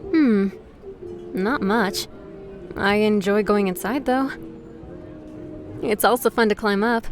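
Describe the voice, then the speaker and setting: A woman's voice: mid-range voice, slow, music in the background, close to an everyday voice. An actress playing a friendly, easygoing female street musician in a visual novel game. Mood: relaxed, helpful. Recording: noisy outdoor street ambience behind voice. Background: urban outdoor ambience, traffic noise.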